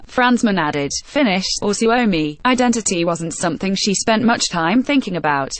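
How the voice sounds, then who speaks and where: A woman narrating a travel feature article in a steady, even reading voice with odd pauses. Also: mid-range voice, no room sound.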